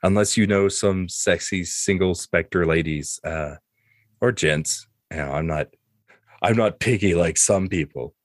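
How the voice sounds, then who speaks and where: Man acting out flirty ghost baron, low pitch, dry close sound, wry, playful, roguish, tabletop roleplay. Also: unhurried, no echo. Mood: amused, cheeky.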